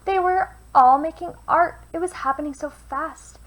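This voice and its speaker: A woman reading a children's picture book aloud with expressive, deliberate phrasing, as a teacher would for young listeners. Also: high-pitched. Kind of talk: children's storybook read-aloud. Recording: noisy home recording.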